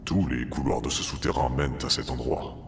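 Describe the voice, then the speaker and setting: A man playing a huge, centuries-old monster guardian in an audio drama, speaking in a formal, measured, solemn way. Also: rumbling low, fairly even intonation, creaky, music in the background. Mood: solemn, warning.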